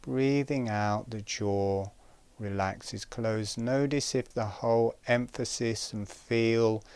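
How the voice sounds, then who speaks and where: A man giving guided breathing instruction in a calm, gentle, measured way, pausing between short phrases. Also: medium pitch, smooth, dry recording.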